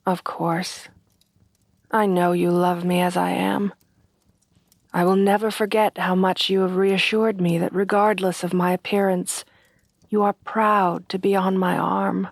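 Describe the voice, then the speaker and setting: Lady acting as a refined monster fiancee, soft slow asmr voice, lowish, super close and dry, proper wording. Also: level tone, no room sound. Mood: anxious, confiding. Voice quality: soft, breathy at times. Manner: formal, genteel, measured phrasing. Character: refined, tender headless horsewoman bride, gently insecure. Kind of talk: soft-spoken ASMR roleplay.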